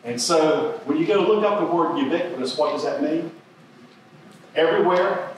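A man's voice: a little high, moderate pace, a little hiss or hum. A man in his sixties giving a seminar talk at a podium, rambling and repeating words as he goes. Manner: rambling, repeats words, chuckles at own stories. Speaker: seminar presenter. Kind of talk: conference lecture. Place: university lecture hall. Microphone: podium microphone. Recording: echoey hall, heard from a distance.

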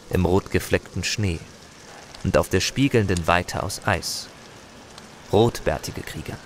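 An actor playing a chronicler, narrating a grim warrior saga in a scripted audio drama. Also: male voice, sits low, slight background noise. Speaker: storyteller narrator.

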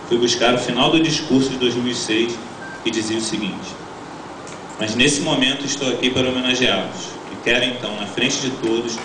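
A middle-aged man, a teacher, giving a formal graduation speech from a lectern in a large auditorium, earnest and measured as he reads from his notes. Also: medium pitch. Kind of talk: formal read-out ceremonial speech. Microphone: stand mic at lectern, filmed from the audience. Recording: echoey auditorium, from far off, noisy.

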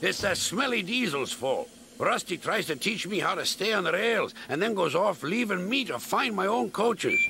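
Man playing stubborn little steam engine, storybook cartoon, indignant, boastful, raised pitch, swooping delivery. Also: sing-song, moderate pace, gravelly, few pauses, pushed and bright, a little hiss or hum, heavily acted. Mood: grumbling.

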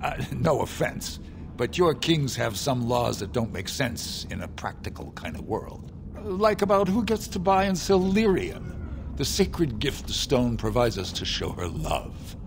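An actor voicing a sly middle-aged male dwarf smuggler in a video game, making a wheedling sales pitch. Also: sing-song, measured pace, gravelly, noisy background. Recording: game audio with music behind.

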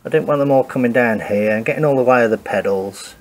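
A man's voice: mid-range voice, fast talker. A man with a head cold, casually talking through his car wiring work in a home garage, hesitant and thinking aloud. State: congested from a cold. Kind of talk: casual workshop walkthrough.

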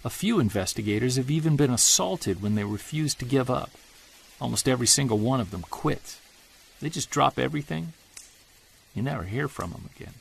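An actor playing a weary middle-aged Bigfoot investigator, narrating an audio diary in an earnest, confessional way. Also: male voice, medium pitch, vocal fry, slightly rough. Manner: confessional, earnest, quietly troubled. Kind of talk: scripted audio drama narration.

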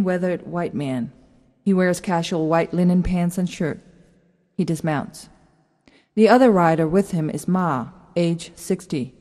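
A woman's voice: lowish voice, dark tone. A woman narrating a script, reading out stage directions as the narrator. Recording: long hall-like reverb.

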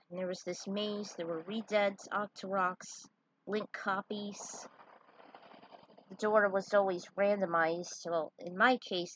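A woman with a husky voice narrating a story and dropping in casual asides. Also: mid-range voice, a little hiss or hum, poor audio. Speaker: fan fiction writer reading their own story.

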